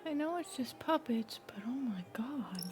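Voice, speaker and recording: A woman streamer giving casual, expressive reaction commentary on a video game, sometimes in hushed asides. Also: high voice, measured pace, over music. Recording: clearly noisy, game sound behind.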